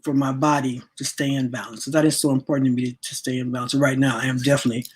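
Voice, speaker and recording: A woman's voice: extremely low pitch, level tone, rapid-fire, slightly rough. A woman in her late sixties giving a casual wellness workshop talk to her audience, chatty and repeating words as she searches for them. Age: 60s. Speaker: spiritual wellness teacher.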